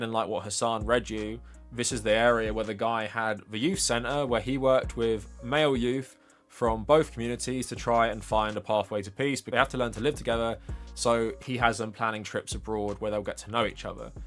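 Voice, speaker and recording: A man's voice: on the low side, a bit bright. A man with an English accent giving informal, opinionated political commentary as a livestream presenter, speaking in a conversational, emphatic way.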